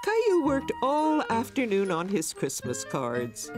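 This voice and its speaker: A woman's voice: a little high, wildly swooping pitch, unhurried, over music. A woman narrating a children's cartoon as a kindly storybook narrator, in a scripted storytelling style.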